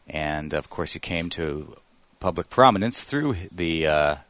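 A man's voice: very deep voice, animated, measured pace, fairly bright tone, dry recording. A man hosting a podcast segment in a conversational, wordy way with a playful announcer touch.

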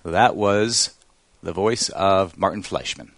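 A man's voice: deep voice, slow pace, a bit bright, no room sound. A man hosting a podcast segment in a conversational, wordy way with a playful announcer touch.

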